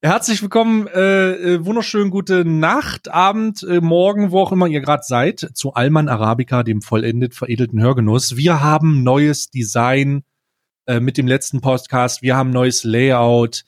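A man's voice: medium pitch, moderate pace. A man hosting a casual comedic podcast, talking in an informal, jokey, slangy way. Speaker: podcast host.

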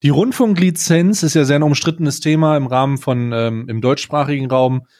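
A man hosting a casual comedic podcast, talking in a halting, informal way with bits of English slang. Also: a little high, darkish tone, no room sound, studio quality. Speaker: podcast host.

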